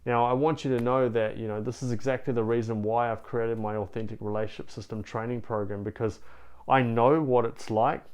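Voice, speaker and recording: A man's voice: on the low side, darkish tone, clear, resonant tone. A man with a New Zealand accent, talking straight to camera as a relationship coach in an earnest, encouraging way, often saying "you know". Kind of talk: direct-to-camera coaching talk.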